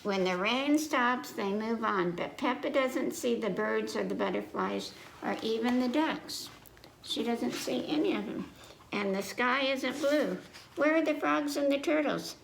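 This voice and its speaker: An American woman reading a picture book aloud to children, speaking simply and pausing to check in with her young listeners. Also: on the high side, vocal fry. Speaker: children's librarian, story time host. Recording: echoey room with faint noise.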